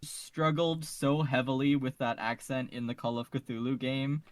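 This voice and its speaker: Earnest man with a mid-pitched voice. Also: little pitch movement, unhurried. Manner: earnest, emphatic, repeats phrases for stress. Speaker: podcast panelist. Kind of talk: casual fan discussion.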